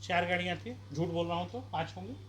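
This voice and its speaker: A man's voice: sits high, quick pace, fairly bright tone, slight background noise. Combative man pressing his case outdoors. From Bihar, India. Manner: combative, rhetorical questions, campaign-style appeals. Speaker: independent election candidate. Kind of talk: street interview.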